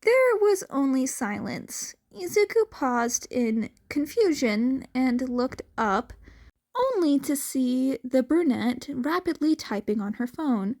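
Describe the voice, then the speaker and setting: A woman reading scripted fan fiction as an expressive story narrator. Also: high voice, takes it slowly.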